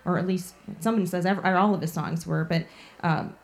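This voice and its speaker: A woman's voice: lowish voice, normal speed, creaky. A woman blues guitarist and singer from Chicago, chatting in an interview and filling her talk with "like" and "you know.". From Chicago, USA. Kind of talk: casual interview chat.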